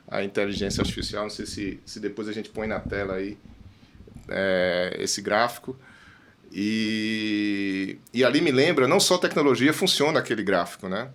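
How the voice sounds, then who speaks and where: A man with a Brazilian Portuguese accent hosting a conversational business discussion in a halting way, often adding tag questions. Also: lowish voice, a little hiss or hum. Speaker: host.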